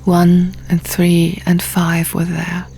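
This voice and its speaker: Slow, hushed woman narrator with creak. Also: lowish voice, completely flat delivery, slight background noise. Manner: hushed, often near a whisper. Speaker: audiobook narrator. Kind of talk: scripted dramatic fiction narration. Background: soft music.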